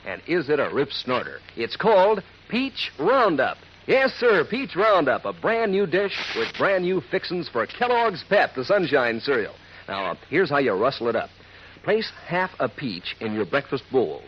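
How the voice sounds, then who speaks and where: A hearty American man sells like an old-time radio pitchman, folksy and exclamatory. His slightly high voice is very animated, on a dull recording with faint noise. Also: moderate pace. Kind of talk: 1940s radio ad read with cowboy slang.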